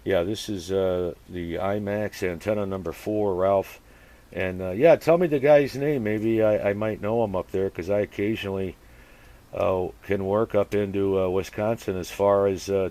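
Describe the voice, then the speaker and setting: A man chatting casually as a radio operator, using procedure words and speaking haltingly as he thinks aloud. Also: lowish voice, a little mellow. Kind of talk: casual two-way radio chat with call-and-response procedure words.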